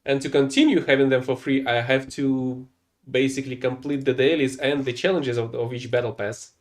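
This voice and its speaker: A man's voice: medium pitch, fairly even intonation, a little fast. A young man who speaks English as a second language, rambling and correcting himself as he casually commentates his own gameplay. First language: not English. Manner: rambling, self-correcting, mildly exasperated. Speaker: gameplay streamer.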